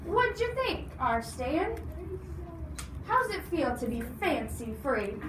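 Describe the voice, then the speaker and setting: A woman's voice: sits high, big pitch swings, slow pace, heavily acted. A woman voicing a hearty, breezy, folksy man in a storybook, exuberant and coaxing. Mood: teasing, probing. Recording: noisy, echoey room, distant mic.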